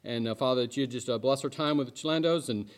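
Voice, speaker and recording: Brisk pastor closing in prayer. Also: male voice, mid-range voice. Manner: brisk, with uh fillers.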